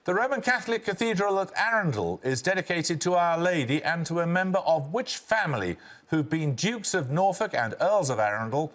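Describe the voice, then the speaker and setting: Brisk older man hosting a quiz. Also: fairly high pitch, expressive, a bit bright. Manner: crisp, brisk quizmaster delivery. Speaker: quiz show host. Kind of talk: reading quiz questions and introductions.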